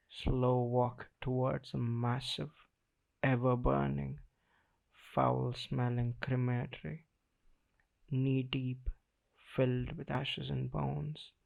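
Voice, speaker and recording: A man delivers spoken-word poetry through a thin, phone-like recording. His pace is very slow and his voice has a heavy creak. He speaks dramatically, setting short phrases apart with weighty pauses. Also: mid-range voice. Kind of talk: slam poetry recitation in the first person.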